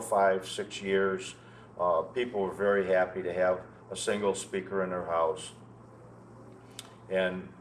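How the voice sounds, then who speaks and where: Older American man, deep voice, halting conversational reminiscing, repeated words, noisy room. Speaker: interview guest, loudspeaker maker. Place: indoor show room, seated. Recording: noticeable background noise, room ambience.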